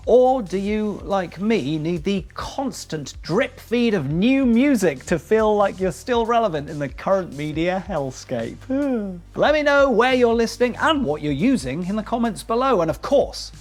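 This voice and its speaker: A British man hosting a casual tech review vlog, chatty and self-deprecating, with jokey, slangy asides. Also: high voice. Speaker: YouTube video host.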